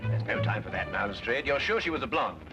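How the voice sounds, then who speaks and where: Impatient, commanding English detective male actor, animated and brisk, old-time mystery drama over clear music. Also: lowish voice, highs cut off, lightly acted. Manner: urgent, clipped, deductive.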